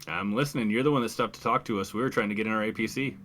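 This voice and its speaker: A man's voice: lowish voice, racing, a bit bright, a little hiss or hum, natural voice. A man playing a wry, weary marine squad leader in a tabletop game, talking in a dry, diplomatic way. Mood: wary, dry. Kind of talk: tabletop roleplay acting.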